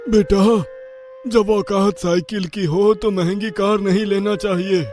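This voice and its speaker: An actor playing a blunt older village uncle in a story, admonishing and emphatic. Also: male voice, high voice, flat delivery, takes it slowly, over music. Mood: warning.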